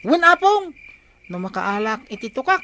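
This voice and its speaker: A woman's voice: a little high, very animated, normal speed. A woman playing an inquisitive, cheeky young grandchild with an Ilocano accent in a radio comedy drama, chatty and questioning. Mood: eager.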